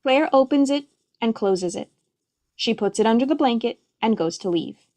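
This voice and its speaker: A woman's voice: fairly high pitch, lively intonation, normal speed, darkish tone. A woman reading stage directions as scripted, present-tense narration for a play.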